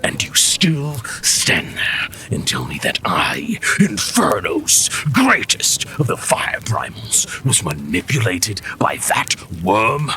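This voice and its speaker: An actor playing an arrogant, resentful male fire-primal warlord in a fantasy audio drama, sneering and boastful, with a gravelly voice. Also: fairly low pitch, huge pitch swings, slow, pushed and bright, a little hiss or hum, heavily acted. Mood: bitter, indignant.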